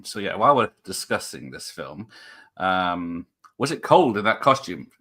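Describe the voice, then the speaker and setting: A man hosting a chat with a bright, sharp tone, casual and jokey. He reads out viewer greetings, laughs along with his guest and asks loose questions. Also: low-pitched, very animated, moderate pace. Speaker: interview host.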